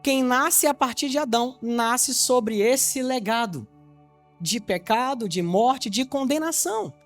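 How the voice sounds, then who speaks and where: A man's voice: extremely high pitch, animated, unhurried, a bit bright, soft background music. A man from Salvador, Bahia, preaching an evangelistic sermon to his congregation. He teaches with rhetorical questions and keeps checking whether they understand. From Salvador, Bahia, Brazil. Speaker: pastor.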